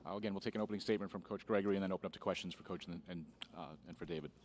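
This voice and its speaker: A man moderating a press conference with brisk, formal announcements. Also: sits low, fairly even intonation, darkish tone, a little hiss or hum.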